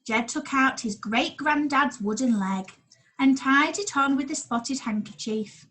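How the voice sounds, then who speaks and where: A woman's voice: sits high, measured pace, a bit bright. A woman reading a picture book aloud expressively for young children, as a school storyteller. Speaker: primary school staff storyteller.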